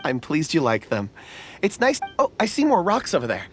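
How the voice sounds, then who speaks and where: A woman playing a polite, earnest and dreamy young ore enthusiast in a video game, with a musing, expressive delivery. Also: low voice, moderate pace. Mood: pleased. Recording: music behind the voice.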